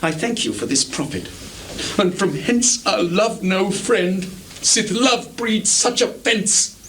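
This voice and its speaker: On a slightly noisy live theatre recording, a sly, insinuating villain of Shakespearean tragedy who feigns honesty. The delivery is slow and theatrical, with pitch that ranges widely between low asides and high protest. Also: male voice, lively intonation, pushed and bright, heavily acted. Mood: wounded protest. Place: small theatre stage.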